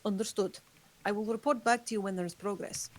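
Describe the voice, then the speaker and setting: A woman reading a calm ancient vampire. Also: mid-range voice, brisk, slight background noise, natural voice. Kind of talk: amateur table read. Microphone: online voice call.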